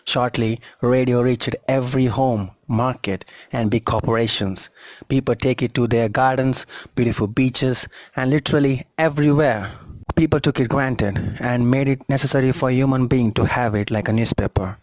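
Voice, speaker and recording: A man's voice: medium pitch, fairly even intonation, moderate pace, a little mellow, no echo. A man reading a scripted history narration as a documentary narrator.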